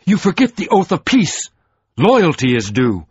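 A man voicing a grave, stern lord who speaks in measured, formal, old-fashioned sentences, on a dull lo-fi recording. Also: a little high, huge pitch swings, breathy edge, dry recording, voice pushed up, full character voice. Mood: solemn. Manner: measured, formal, archaic speech. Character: solemn grave male lord, stern, weary.

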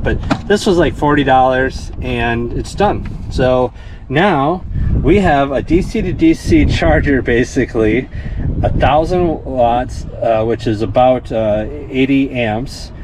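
Easygoing middle-aged man in a van. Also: mid-range voice. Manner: rambling, self-deprecating, thinks aloud with fillers. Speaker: van-build vlogger. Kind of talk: casual how-to walkthrough. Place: inside a parked van. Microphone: handheld action camera. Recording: noisy van interior.

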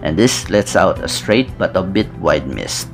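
Gravelly young man reviewing over music. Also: low-pitched, measured pace. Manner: steady, methodical, descriptive delivery. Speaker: fragrance reviewer. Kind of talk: scripted product review narration. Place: small indoor room with brick-pattern wall. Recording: clear background music under voice. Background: background music.

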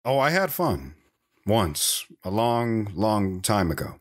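A man's voice: fairly low pitch, very animated. An actor playing a world-weary, polite wandering man, cryptic and reflective, in an audio drama. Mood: wistful. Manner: measured, reflective, reserved.

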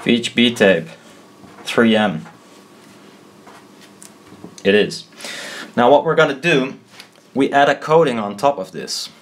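A man explaining a homemade build informally at a desk, thinking aloud, blunt and halting, often correcting himself. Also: mid-range voice, animated. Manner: halting, self-doubting, trailing off and correcting himself. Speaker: maker explaining a DIY build. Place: indoors at a desk.

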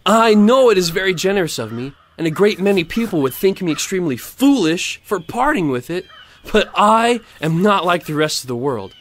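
Man playing a high-voiced pompous miller. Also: sing-song, moderate pace, a bit bright. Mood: self-satisfied. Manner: pompous, preachy, affronted. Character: pompous, self-righteous, grasping male miller. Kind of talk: audio theatre storybook acting.

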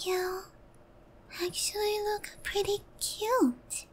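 A lonely young witch girl, played by a woman, speaks in a very high, soft, breathy voice. She coaxes sweetly and slowly with a possessive edge, in ASMR roleplay style, over audible background noise. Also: little pitch movement. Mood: gentle concern. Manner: slow, gentle, coaxing.

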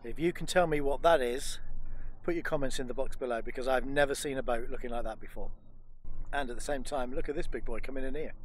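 A man's voice: medium pitch, fast talker. A man in his fifties, a vlogger host, chatting casually and self-deprecatingly to a camera held at arm's length on a sailboat outdoors.

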